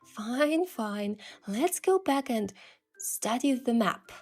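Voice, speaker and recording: A woman voicing a curious young adventurer heroine, telling the story in the first person with earnest, expressive delivery. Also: on the high side, slow pace. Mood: flustered, curious. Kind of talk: scripted first-person narration and dialogue. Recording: music behind the voice.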